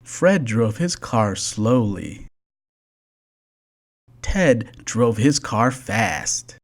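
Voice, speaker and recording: Storyteller, children's picture book, very slow pace, lively pitch movement, deliberate read-aloud delivery. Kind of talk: scripted children's storybook narration.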